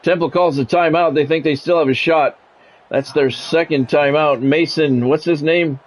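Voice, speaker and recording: A man's voice: a little high, fairly even intonation, normal speed. A man streaming a football video game, mixing sports play-by-play with casual, salty chat to viewers and swearing freely.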